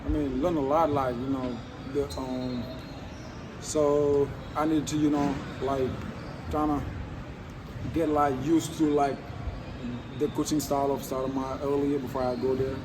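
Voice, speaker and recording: A teenage basketball recruit from Senegal, answering interview questions in a halting, casual way, full of fillers. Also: male voice, mid-range voice, flat delivery, noisy background. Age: teens. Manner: halting, many fillers like um and you know.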